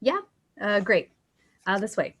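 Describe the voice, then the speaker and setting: A woman's voice: medium pitch, lively intonation, unhurried, fairly bright tone, husky. A woman playing a hesitant but helpful office receptionist in a screenplay table read.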